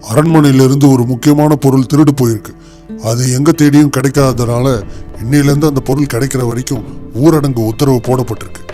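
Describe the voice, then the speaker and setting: A man voicing a dutiful chief palace guard, formal and official, in an animated moral story. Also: medium pitch, a little fast, faint music behind, natural voice. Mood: grave.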